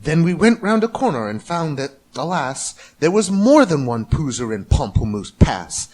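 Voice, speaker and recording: Animated, higher-pitched man reading rhyming stories. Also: moderate pace. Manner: theatrical, rhythmic verse delivery. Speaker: amateur storybook reader. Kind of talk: expressive rhyming story narration.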